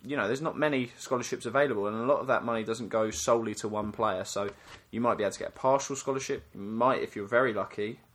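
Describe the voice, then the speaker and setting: A man's voice: medium pitch, quick pace, hardly any pauses. A man who coaches youth soccer, co-hosting a casual chat, teasing his partner and then explaining things steadily. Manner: playful, teasing; explanatory, steady when giving advice.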